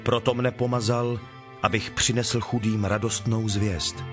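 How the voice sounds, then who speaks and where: An actor playing a calm, authoritative holy teacher in a dramatized Bible reading, speaking in a measured, solemn, declamatory way. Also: male voice, lowish voice, over music, lightly acted. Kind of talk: dramatized Bible audio acting.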